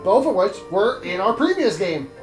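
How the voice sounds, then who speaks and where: A man's voice: high voice, very animated, moderate pace, faint music behind. A young man hosting a let's play, chatting casually over the game in a rambling way, full of trivia and fillers. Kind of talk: casual commentary over gameplay. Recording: echoey room.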